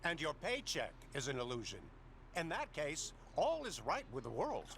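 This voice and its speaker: Sneering, high, sharp-voiced skeptical official. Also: male voice, huge pitch swings, moderate pace, gravelly, slight background noise, exaggerated voice. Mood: smug, mocking. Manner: sarcastic, mocking, pompous. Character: sneering, smug middle-aged male skeptical official. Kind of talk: video game voice acting.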